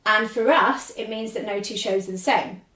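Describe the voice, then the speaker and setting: A woman in her forties with a squeaky speaking voice, chatting as a podcast guest and rambling with lots of laughter. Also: normal speed, roomy sound, far from the mic. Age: 40s. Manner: rambling, animated, laughs often. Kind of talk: casual chat.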